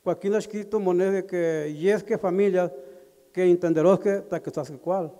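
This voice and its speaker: A middle-aged man speaks into a handheld microphone in a crowded hall. His voice sits high yet sounds dark and mellow, and he talks haltingly with pauses and fillers. A long reverb trails each phrase. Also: steady tone. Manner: halting, with pauses and fillers, switching between languages. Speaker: community member at a consultation. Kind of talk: public address. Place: large indoor community hall. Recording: echoey hall over a PA.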